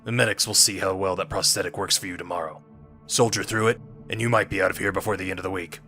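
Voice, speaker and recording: A man playing a battle-scarred military unit commander in an audio drama, gruff, measured and authoritative. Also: sits low, fairly even intonation, sharp tone, soft background music. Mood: firm encouragement.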